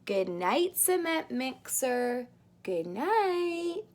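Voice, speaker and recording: A woman reading a picture book aloud to young children like a daycare teacher, in an expressive, sing-song way with drawn-out words. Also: high-pitched, slight background noise. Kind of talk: animated read-aloud of a children's storybook.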